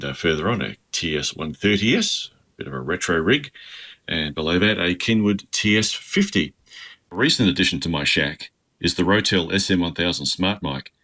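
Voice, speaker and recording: A man with an Australian accent narrates an informal how-to tour. His voice is very deep yet has a bright, forward edge. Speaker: amateur radio hobbyist.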